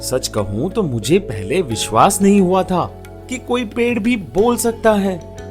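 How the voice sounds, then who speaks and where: A man's voice: sits high, expressive, measured pace, faint music behind. A man playing an eager, earnest young village son in a story, speaking emphatically. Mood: curious.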